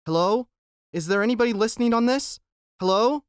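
A man's voice: sits high, brisk, clean tone, no room sound, studio quality, pitched above the performer's own voice, lightly acted. A man voicing a frightened teenage boy alone in a small plane, calling for help in a raised, pleading voice. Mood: anxious.

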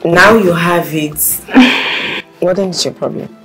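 An actress playing a calm, blunt woman. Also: lowish voice, measured pace, slightly rough, faint music behind, heavily acted, poor audio. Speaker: actor.

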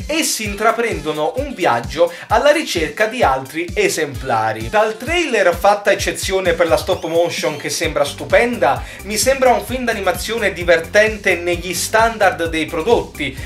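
A young man speaks in a high-pitched voice with very lively intonation. He delivers scripted film commentary in an earnest, opinionated way. Music plays behind him. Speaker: film news vlogger. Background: background music.

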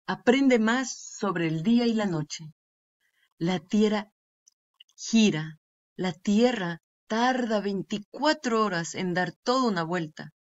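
Slow-paced woman reading a children's book. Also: medium pitch. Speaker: read-aloud narrator. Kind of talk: children's nonfiction book read-aloud. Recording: dull, lo-fi sound.

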